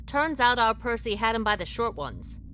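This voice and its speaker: An actress playing a proud, secretive businesswoman who speaks briskly and tartly in a radio play. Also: a little high, sing-song, pushed and bright, slight background noise. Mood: assertive. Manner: brisk, tart and defensive. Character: sharp, proud woman business owner, secretive. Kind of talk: radio drama acting.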